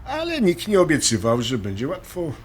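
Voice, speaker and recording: A man's voice: a little high, wildly swooping pitch, moderate pace, a little hiss or hum. A man playing a patient husband in an audio drama, speaking in a colloquial, conversational way. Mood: reassuring. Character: Krzysztof, patient, reassuring husband in couples therapy.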